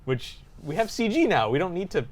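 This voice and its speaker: A man's voice: high voice, big pitch swings, a little fast. A man co-hosting a podcast in casual conversation, often chuckling as he talks. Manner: chuckles often while talking. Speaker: podcast co-host. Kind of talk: casual chat about video game movies.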